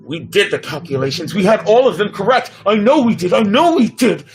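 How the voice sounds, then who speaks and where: A man's voice: sits high, animated, brisk, vocal fry, faint music behind, pitched above the performer's own voice. A man acting an earnest, urgent scientist giving a dramatic warning in a sci-fi story reading. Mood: frantic. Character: urgent, grave scientist sending a doomsday warning.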